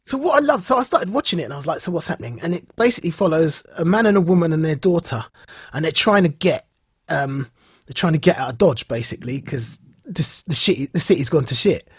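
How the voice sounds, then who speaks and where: Husky man chatting, close and dry. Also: fairly high pitch, fast, dry recording. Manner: animated storyteller, repeats phrases for emphasis. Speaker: podcast co-host. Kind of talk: casual chat recounting and reviewing a show.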